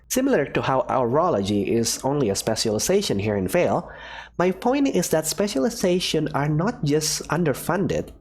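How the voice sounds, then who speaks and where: A cheerful woman professor with a secretive streak, as a voice actor plays her. Her lively voice swoops up and down in a breezy, teasing delivery. She sounds like a character from a fan-made visual novel. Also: medium pitch, slight background noise.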